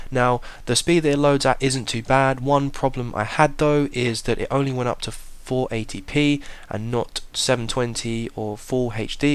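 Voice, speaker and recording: A man narrating a tech review in a plain, measured, explanatory way. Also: mid-range voice, clear, resonant tone. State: slight cold. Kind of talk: voice-over product review.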